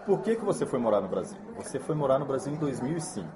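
A man hosting a relaxed interview show, asking friendly, encouraging questions. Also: medium pitch, quick pace, a crowd in the background. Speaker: talk show host.

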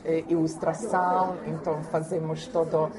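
A woman from Croatia talking casually as an interview guest, in careful, halting non-native Portuguese with occasional Spanish slips. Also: low-pitched, level tone, a crowd in the background.

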